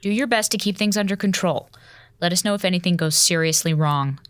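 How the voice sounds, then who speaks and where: A woman playing a level-headed space station commander in an audio drama, speaking in a firm, brisk, no-nonsense way. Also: on the low side, no echo, clean studio sound, lightly acted. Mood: commanding.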